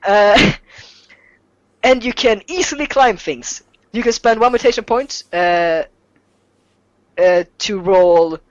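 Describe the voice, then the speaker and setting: Dull-sounding, halting Swedish-speaking game master, sex unclear. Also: mid-range voice, dry recording. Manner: halting, self-deprecating, jokey. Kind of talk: explaining tabletop game rules, off the cuff.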